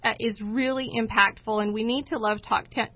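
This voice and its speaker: A woman co-hosting a talk show, speaking conversationally and inspirationally, with deliberate, emphatic phrasing and pauses. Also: fairly high pitch, fairly even intonation. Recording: dull, lo-fi audio.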